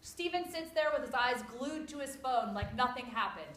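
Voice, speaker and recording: High-pitched woman narrator in an echoey room. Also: animated, normal speed, fairly bright tone. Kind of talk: reading stage directions aloud. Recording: echoey room, faint background noise.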